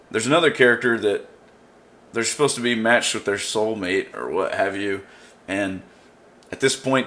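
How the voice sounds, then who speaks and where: A man's voice: on the low side, a bit bright. A man casually recapping a show with friends, rambling with fillers and restarts. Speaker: show commentator.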